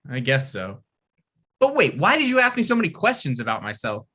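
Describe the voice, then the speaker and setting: Guy reading a sweet weird dude who rambles, bright voice. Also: mid-range voice, huge pitch swings, brisk, husky, full character voice. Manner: earnest, rambling, stammers. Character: earnest, odd young man. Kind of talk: table read of a comedy script.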